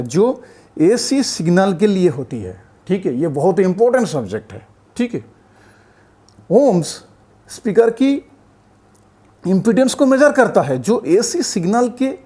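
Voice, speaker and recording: A man's voice: on the high side, dark tone. A man presenting an explanatory tutorial in a didactic, emphatic way, repeating points and often checking that listeners follow. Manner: repetitive, checks in with frequent tag phrases.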